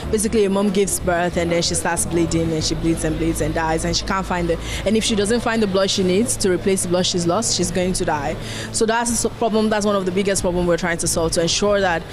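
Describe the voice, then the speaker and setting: A man earnestly explaining his startup's work in an interview pitch. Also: fairly even intonation, brisk, background noise. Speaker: startup founder. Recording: music bed underneath.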